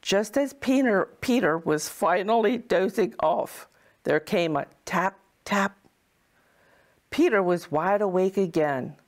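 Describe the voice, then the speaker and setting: A woman tells a children's story as a kindly, motherly Mrs. Claus. Her pace is very slow and deliberate, with a medium-pitched voice and expressive phrasing suited to little listeners.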